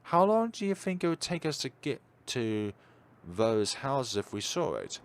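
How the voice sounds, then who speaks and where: A man's voice: medium pitch, big pitch swings, a little slow, a little hiss or hum, pitched above the performer's own voice. A man voicing an intense, secretive teenage girl from a visual novel in a terse, brusque manner. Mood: pensive.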